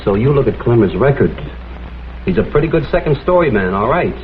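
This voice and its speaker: Dogged crime photographer, tinny old soundtrack. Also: male voice, mid-range voice, sing-song, brisk, slight background noise. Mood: reasoning. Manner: dogged, questioning, polite but insistent. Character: persistent, shrewd male crime photographer playing detective. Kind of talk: vintage crime drama acting. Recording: muffled phone-like old soundtrack, music behind.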